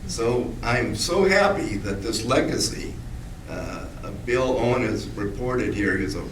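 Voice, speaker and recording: An older man in the audience at a public talk, reminiscing about his great-grandfather in a halting way with many restarts. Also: lowish voice, lively intonation, a little hiss or hum. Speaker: audience member, descendant. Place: meeting room at a public talk. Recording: roomy hall, mic at a distance.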